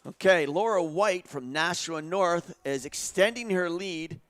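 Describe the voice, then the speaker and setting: Live track commentator: man with a higher-set voice, conversational delivery that builds with the race. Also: a little slow, fairly bright tone.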